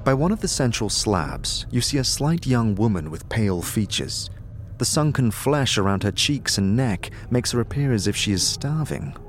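A man's voice: mid-range voice, big pitch swings, moderate pace, soft background music. A man reading scripted fantasy narration as a storyteller, vividly addressing the listener. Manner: vivid second-person storytelling.

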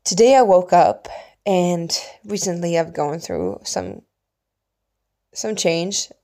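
A woman from Sweden with a slightly low voice speaks English as a second language. She tells a personal story in a casual, reflective way. Her delivery meanders, with pauses and hushed asides. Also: big pitch swings. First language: Swedish. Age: adult, mother of young children. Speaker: vlogger telling a personal story.

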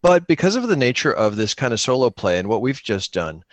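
A man who podcasts about solo tabletop gaming, chatting casually and explaining in an animated way, repeating phrases for emphasis. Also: mid-range voice, a little fast, no echo.

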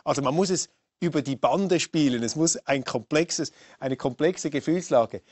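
A man's voice: a little high, moderate pace, darkish tone, dry recording. Host guy asking long rambly questions, dry close sound. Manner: probing, musing questions with restarts. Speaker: host and moderator. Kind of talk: moderating a debate.

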